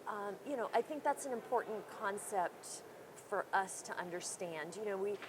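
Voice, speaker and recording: A woman's voice: medium pitch, lively intonation, moderate pace. A woman who runs a small credit union, chatting in a conference interview, self-deprecating and full of fillers. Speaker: credit union CEO, guest. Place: busy conference hall. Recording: noisy conference floor.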